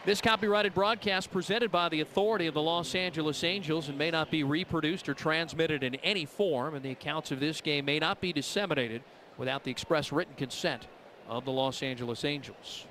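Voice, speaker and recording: A man's voice: a little high, brisk, a bit bright. A man calling a baseball game live as the play-by-play announcer.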